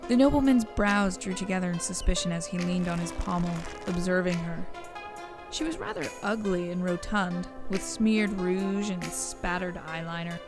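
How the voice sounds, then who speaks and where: A woman's voice: fairly low pitch, normal speed. A woman narrator reading a scripted audio story. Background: music.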